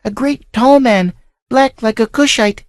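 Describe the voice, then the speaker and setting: A man reading aloud, voicing a frightened young servant girl who pleads in a high falsetto. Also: measured pace, no echo, clean studio sound. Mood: fearful.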